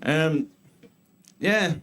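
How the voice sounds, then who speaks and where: A man in his thirties with an Irish accent, doing a deadpan, self-mocking stand-up set in a comedy club. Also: a little high, drawn-out words. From Ireland. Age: 30s. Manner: deadpan self-mocking delivery with crude punchlines. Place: comedy club stage before a live audience.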